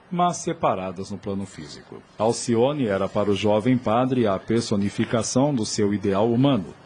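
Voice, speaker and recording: A man's voice: fairly low pitch, darkish tone. A man narrating a scripted audio drama in a formal, literary way. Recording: clear background noise.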